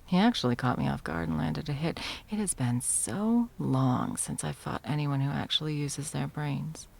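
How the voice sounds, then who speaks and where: A woman's voice: low voice, darkish tone. A woman acting an arrogant, centuries-old immortal sorceress tyrant in an ASMR roleplay, teasing and theatrical, at times slipping into whispers. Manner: mocking, purring, theatrical, slipping into breathy whispers.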